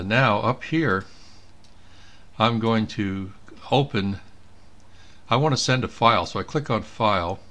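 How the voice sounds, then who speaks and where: A man's voice: lowish voice, fairly bright tone. A man giving a casual screen tutorial as an amateur radio operator, thinking aloud as he works. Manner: thinking aloud while working. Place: indoors at a computer.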